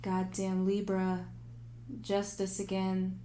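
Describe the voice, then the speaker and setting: A woman hosting a tarot livestream in casual, animated, informal talk, thinking aloud and repeating phrases for emphasis, her voice turning a little raspy. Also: medium pitch, slow pace, slight background noise. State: voice getting raspy. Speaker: tarot reader and livestream host.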